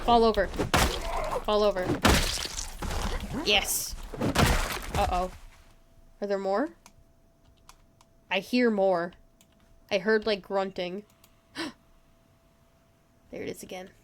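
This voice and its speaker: A woman giving excitable live gameplay commentary, talking to herself and to her viewers. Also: medium pitch, takes it slowly, long pauses, a little hiss or hum. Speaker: game streamer. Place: indoors, streaming at a computer.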